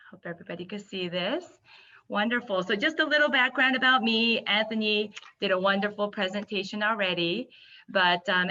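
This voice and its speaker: Bright-voiced Japanese woman giving a webinar. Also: mid-range voice, dull audio. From Yokohama, Japan. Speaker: guest speaker, hospitality entrepreneur. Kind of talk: webinar presentation. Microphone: video call.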